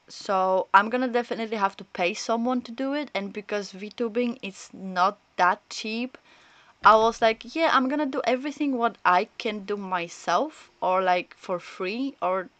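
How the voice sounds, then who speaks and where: A woman livestreaming a game, chatting casually in at a middle pitch. She rambles and thinks aloud into a close, dry microphone. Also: fairly bright tone, no room sound, muffled recording. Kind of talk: casual chat and reactions while playing.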